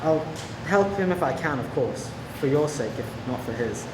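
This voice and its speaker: A man playing an earnest, restrained police detective in a radio play, polite and measured. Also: on the high side, lively intonation, close to an everyday voice. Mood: tender, regretful. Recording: echoey room with clear background hum.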